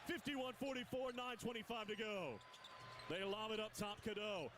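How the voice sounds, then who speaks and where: Man calling basketball over loud arena noise. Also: sits high. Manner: rapid call that climbs sharply on big plays. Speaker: play-by-play announcer. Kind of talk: radio basketball play-by-play.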